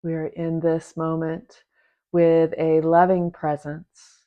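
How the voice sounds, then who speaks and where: Slow, low-pitched woman guiding meditation. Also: completely flat delivery, phone-quality sound. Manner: gentle, unhurried, with long pauses between short phrases. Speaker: meditation teacher. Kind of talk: guided meditation, soft instruction.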